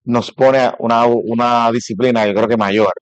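Halting Puerto Rican man, slightly dull sound. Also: medium pitch, steady tone, clean tone, no echo. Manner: halting, thinking aloud. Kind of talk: casual conversation about parenting.

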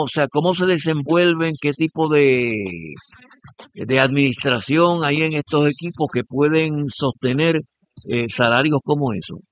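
A man hosting a business radio show, greeting phone guests and asking questions with many pauses and fillers. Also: fairly high pitch. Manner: friendly, halting with frequent fillers. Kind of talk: casual radio interview.

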